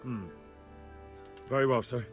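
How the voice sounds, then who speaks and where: An actor playing a dutiful young medieval soldier in a game. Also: male voice, mid-range voice, steady tone, slow, faint music behind, lightly acted. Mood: deferential. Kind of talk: dramatic game cutscene acting.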